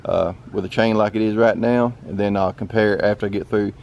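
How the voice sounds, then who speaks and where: A man in his thirties with a Southern US drawl talks in a relaxed, folksy way, pausing on "uh" as he goes. His voice sits a little low with a gravelly fry. Faint outdoor ambience hums behind him in a wooded yard. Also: muffled recording, slight background noise. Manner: folksy, easygoing, with many "uh" fillers. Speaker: channel host. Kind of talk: casual outdoor vlog.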